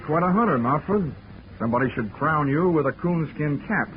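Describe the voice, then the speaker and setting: A man's voice: on the high side, lively intonation, fairly quick, noisy background, natural voice. A man playing a wry, hard-boiled private investigator in sardonic 1940s radio style. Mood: sarcastic. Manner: sardonic, wisecracking. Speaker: narrating lead of a detective radio serial. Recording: tinny, band-limited 1940s radio recording with music.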